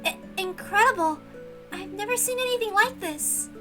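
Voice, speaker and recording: A woman's voice: extremely high pitch, animated, normal speed, voice pushed up. A woman voicing a timid, polite young servant girl in a visual novel reading, hesitant and deferential over music. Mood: amazed.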